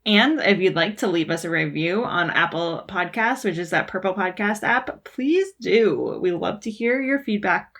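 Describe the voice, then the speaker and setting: A woman's voice: medium pitch, animated, moderate pace, hardly any pauses, a bit bright. A woman co-hosting a podcast, bantering in a playful, teasing way. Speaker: podcast co-host.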